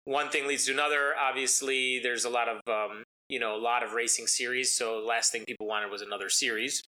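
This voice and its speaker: A man with a very bright, forward voice, talking through his background in an easygoing interview. His first language is Italian. He rambles and fills pauses with ums and uhs. His pitch sits in a middle range for a man. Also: steady tone, poor audio. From United States. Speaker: interview guest, racing driver. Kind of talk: casual storytelling interview.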